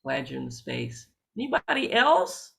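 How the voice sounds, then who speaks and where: A man's voice: medium pitch, wildly swooping pitch, moderate pace, creaky, a bit bright. A man facilitating a workshop discussion, a clinician speaking in an affirming, encouraging and reflective way.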